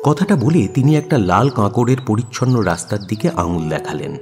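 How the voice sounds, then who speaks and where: A man narrates a radio drama in a low voice. His intonation is very animated as he reads the scripted story, with music clearly playing underneath. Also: a little fast.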